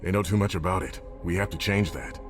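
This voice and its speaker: An actor playing a stern, cautious vampire adviser in a game cutscene, blunt and serious, with a gravelly voice. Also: male voice, low voice, quick pace, soft background music, natural voice. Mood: stern, insistent. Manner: blunt, serious, matter-of-fact. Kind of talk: dramatic video game cutscene acting.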